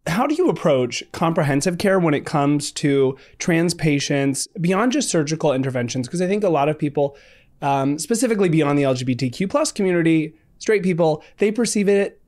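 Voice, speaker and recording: Higher-voiced male podcast host. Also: lively intonation, fairly quick, a little mellow. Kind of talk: scripted intro and interview questions.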